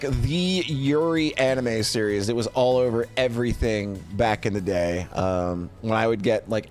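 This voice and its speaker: A middle-aged man chatting casually to a livestream, rambling playfully and often repeating himself. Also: medium pitch. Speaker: streamer presenting a tier list. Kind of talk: casual livestream chat and commentary.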